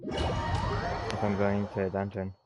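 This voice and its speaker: A man giving casual, low-key, halting commentary as he plays a video game. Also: completely flat delivery, loud music behind the voice. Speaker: gamer. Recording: loud game noise behind the voice. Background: video game audio.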